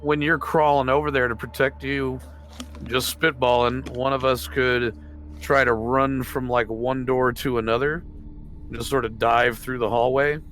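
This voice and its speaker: A man's voice: medium pitch, steady tone, a little slow, fairly bright tone. A man playing a tabletop roleplaying game, chatting casually with the group.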